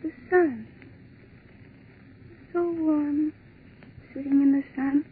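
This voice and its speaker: An actress playing a young woman lost in a calm, dreamy delusion, in 1940s radio acting style. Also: high-pitched, drawn-out words. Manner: dreamy, soft, childlike. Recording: tinny, faintly noisy 1940s radio broadcast.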